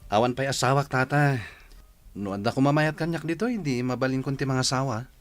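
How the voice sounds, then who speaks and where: An actor with an Ilocano accent playing a young village bachelor in a radio drama. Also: male voice, medium pitch, a little fast, lightly acted. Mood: curious. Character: young bachelor nephew, interested in local girls.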